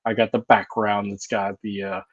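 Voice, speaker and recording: A man's voice: fairly low pitch, level tone, normal speed, no echo. A man from the United States in a casual podcast conversation, talking in an earnest, reflective way that wanders off topic. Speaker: martial arts practitioner and podcast co-host. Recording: dull dry lo-fi sound.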